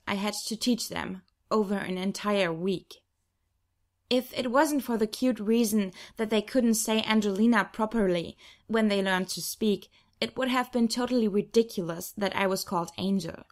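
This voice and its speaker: Audiobook narrator, woman, average pitch, expressive first-person storytelling from a script. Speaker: audiobook reader.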